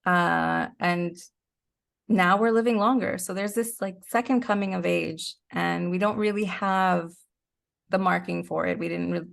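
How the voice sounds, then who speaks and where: Middle aged woman on a podcast, lowish creaky voice, casually thinking out loud and explaining stuff. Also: fairly even intonation, a little slow. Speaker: podcast guest, creator. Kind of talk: casual interview conversation.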